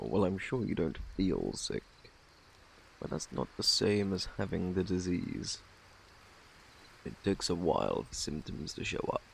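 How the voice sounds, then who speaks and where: A very deep, gravelly British male plague doctor acted by a man speaks softly, as in an ASMR roleplay. His phrasing is formal and fussy, with lively swoops in pitch and a faintly sinister politeness. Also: a little hiss or hum, heavily acted. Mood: grave, ominous. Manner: formal, fussy, self-assured. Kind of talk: ASMR roleplay, soft close speech.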